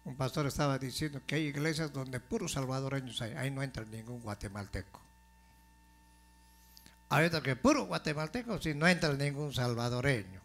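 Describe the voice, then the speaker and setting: A male pastor delivering a sermon in a slow, deliberate way, building to forceful emphasis. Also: mid-range voice.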